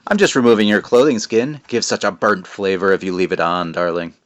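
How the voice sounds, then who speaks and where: A man voicing a raspy, sinister faceless witch in a storybook reading, purring over the word "darling.". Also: on the low side, steady tone, fairly quick, no echo, highs cut off, lightly acted. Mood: purring menace.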